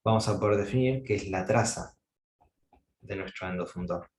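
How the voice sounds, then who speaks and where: A man's voice: lowish voice, normal speed, a little mellow, reverberant, a little hiss or hum. A man giving an academic mathematics lecture, thinking aloud with frequent fillers and restarts.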